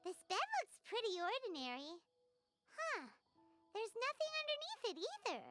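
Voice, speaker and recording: A woman voicing a small, chatty, childlike fairy companion in an anime video game, with a squeaky, inquisitive delivery. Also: huge pitch swings, normal speed, over loud music, exaggerated voice. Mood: curious, chatty. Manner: exclaiming, inquisitive, refers to self by name. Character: small chatty childlike fairy companion, curious, timid, third-person speaker. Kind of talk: animated anime game voice acting.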